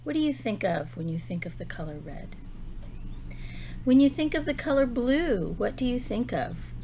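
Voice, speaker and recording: A woman teacher giving a read-aloud lesson for young children, expressive and child-directed, asking simple questions and pausing for answers. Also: fairly high pitch, a little hiss or hum.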